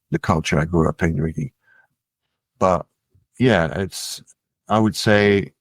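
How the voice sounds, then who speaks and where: A middle-aged man from France, a musician guest in a casual interview, talking in a relaxed, musing way with many fillers. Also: low-pitched, no room sound. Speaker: musician and label head, guest.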